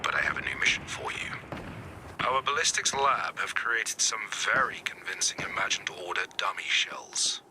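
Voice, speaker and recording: A man voicing a composed resistance commander in a video game, giving dry, measured briefings. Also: low voice, sing-song, noisy background. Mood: dry, businesslike. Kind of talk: scripted game mission briefing.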